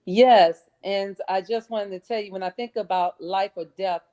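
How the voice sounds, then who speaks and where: A woman with a middling pitch joins an online audition from her phone. She speaks earnestly and politely, as an amateur contestant addressing a host and viewers. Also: steady tone, normal speed, mellow. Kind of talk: casual chat, then motivational recitation. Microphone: phone on a streaming call.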